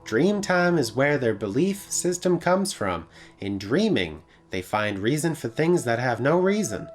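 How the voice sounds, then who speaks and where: A man's voice: medium pitch, sing-song, measured pace, faint music behind, voice pushed up. A man voicing a brash, reckless woman who drives a taxi in a visual novel, offhand and slangy. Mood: matter-of-fact. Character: brash, reckless, thrill-seeking female Australian taxi driver. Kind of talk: character voice in a visual novel read-aloud.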